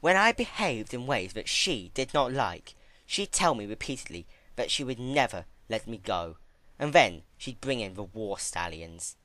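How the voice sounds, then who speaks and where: A man's voice: medium pitch, very animated, bright, forceful tone, no echo. A man voicing an ancient, unhinged female pony princess who recalls her torments in a theatrical, chatty way, in a dramatic story reading. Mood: bitterly chatty. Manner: theatrical, chatty about horrors, with drawn-out emphatic words. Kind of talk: dramatic fan fiction reading.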